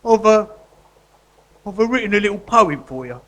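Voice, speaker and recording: An actor playing a matey, sentimental guerrilla artist convict in a live comedy radio drama. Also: male voice, high voice, animated, moderate pace, fairly bright tone. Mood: wistful. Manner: matey, colloquial, earnest.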